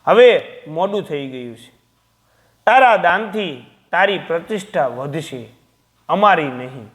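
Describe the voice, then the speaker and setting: Male narrator as elderly mother, storybook character, calm, dignified, quietly resolute. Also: on the high side, takes it slowly. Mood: calm, firm.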